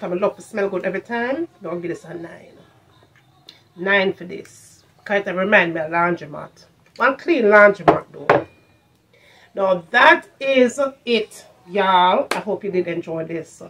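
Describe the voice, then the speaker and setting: A woman's voice: mid-range voice, measured pace, background noise. Jamaican woman chatting casually to camera. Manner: animated patois-flavoured talk, repeats phrases for emphasis. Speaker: vlogger, product reviewer. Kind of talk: casual chat to camera, shopping haul review. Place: furnished room at home, indoors.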